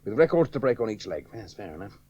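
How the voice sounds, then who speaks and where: A man's voice: fairly low pitch, big pitch swings, fast, dark tone, slightly rough, lo-fi sound. An actor playing a charming, hard-drinking young male pilot in a radio drama, breezy and teasing. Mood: offhand. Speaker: aviator. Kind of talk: 1970s radio drama acting.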